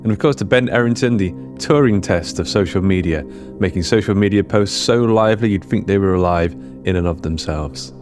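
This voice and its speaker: A man's voice: low voice, fairly quick, a little mellow, soft background music. A man reading a scripted podcast outro and credits as host.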